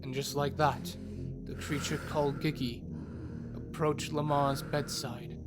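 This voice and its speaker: Casting: male storyteller, fantasy audio drama, slow pace, animated intonation, over music. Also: fairly high pitch. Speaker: narrator. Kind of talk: scripted fantasy story narration.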